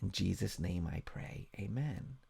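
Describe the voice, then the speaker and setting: A friendly bedtime-story woodsman voiced by a man, gentle and reassuring. The voice sits low with a heavy creak, and words get repeated to soothe. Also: lively intonation, measured pace, exaggerated voice. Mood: gentle, prayerful.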